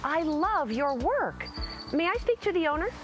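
A woman's voice: sits high, big pitch swings, moderate pace, soft background music. A woman playing a gushing, eager customer in an acted radio ad. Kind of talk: radio ad acting.

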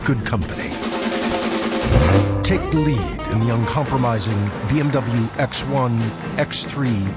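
A man narrating a scripted car commercial in a measured way. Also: medium pitch. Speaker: ad voice-over. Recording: commercial over loud music.

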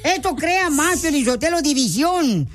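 A man's voice: extremely high pitch, expressive, unhurried, fairly bright tone, soft background music. A man hosting a radio show, teasing his co-hosts and trading playful insults. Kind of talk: joking radio banter.

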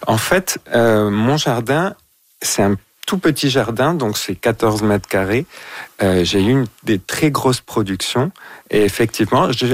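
A man's voice: medium pitch, expressive, measured pace, no echo. A man from Mexico speaking informally as a guest gardener, explaining his methods and often agreeing in short repeated affirmations. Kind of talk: informal explanatory interview answers.

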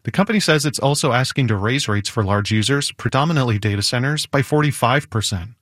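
A man's voice: mid-range voice, animated, quick pace, a bit bright, dry recording, studio quality. A man with an American accent reading scripted news narration as a radio reporter.

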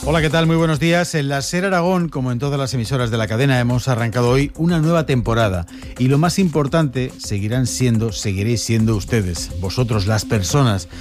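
Spanish guy anchoring morning radio news, quick and measured, faint music underneath. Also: medium pitch. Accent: Spanish (Spain). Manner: brisk, measured newsreader delivery. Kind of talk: news bulletin presenting.

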